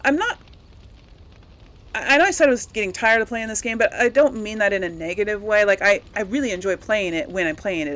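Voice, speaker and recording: A woman with a medium-pitched voice hosts a video game playthrough. She speaks to her viewers informally, in a chatty, rambling manner, as casual commentary. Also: fairly bright tone, a little hiss or hum. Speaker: host of a video game let's play channel.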